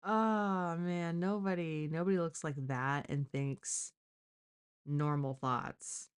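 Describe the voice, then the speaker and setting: Casual, blunt woman streamer with lowish voice. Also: fairly even intonation, slow, smooth. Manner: blunt, exclamatory, mocking. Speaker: live stream host reacting to a crime case. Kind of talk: casual reaction commentary.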